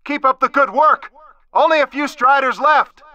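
Sharp, high-voiced male base announcer. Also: normal speed, no room sound, muffled recording, exaggerated voice. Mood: urgent, alert. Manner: urgent, clipped, emphatic. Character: alert male base announcer calling out battle warnings. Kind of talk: video game announcements.

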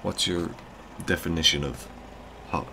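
An actor playing a gruff, no-nonsense male drug dealer in an audio drama, with a dry, dismissive way of talking. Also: low voice, unhurried, bright, forceful tone, slight background noise, heavily acted. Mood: needling.

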